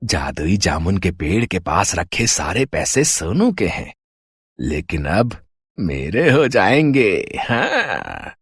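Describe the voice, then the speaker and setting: A man playing a jealous, greedy village neighbour in a storybook tale, gloating slowly in a husky voice. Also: low-pitched, sing-song, dry recording, studio quality, full character voice. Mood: scheming, gloating. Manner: slow, gloating, drawn-out plotting.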